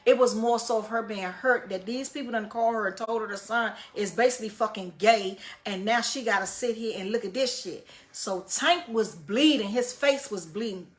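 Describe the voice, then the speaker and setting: A woman with a loud voice, casually telling a personal story in a profane, animated way and repeating phrases for emphasis. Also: fairly high pitch, normal speed, hardly any pauses.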